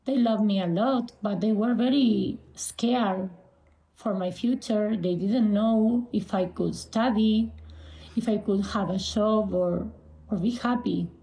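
A woman from Argentina with an Argentine Spanish accent gives a personal account as an interview guest, phrasing things carefully and haltingly. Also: mid-range voice, creaky, warm tone. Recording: roomy, echoing sound.

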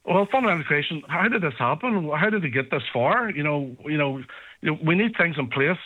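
A man's voice: on the high side, animated, fairly quick. A man, a local councillor on a radio phone-in, speaking earnestly with lots of fillers. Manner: earnest, repetitive, filler-heavy. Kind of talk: phone-in interview. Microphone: telephone. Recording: phone line.

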